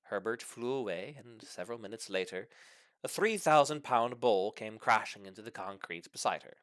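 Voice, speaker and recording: Animated man reading a comic story. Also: lowish voice, normal speed, no echo. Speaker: story reader. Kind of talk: animated scripted narration of a comic short story.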